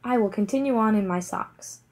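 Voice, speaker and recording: A woman's voice: medium pitch, normal speed, darkish tone, close to an everyday voice. A woman voicing a vain, fussy male royal courtier in a children's storybook, exclaiming and overly polite. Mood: eager, apologetic. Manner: exclaiming, boastful, overpolite.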